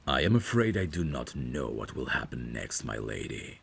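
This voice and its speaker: A man playing a courtly, caring vampire butler in an ASMR audio drama, speaking in a formal, deferential and gently solicitous way. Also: rumbling low, sing-song, moderate pace, vocal fry, slight background noise, exaggerated voice. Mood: grave, regretful. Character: courtly, caring male vampire butler, soft-spoken and protective.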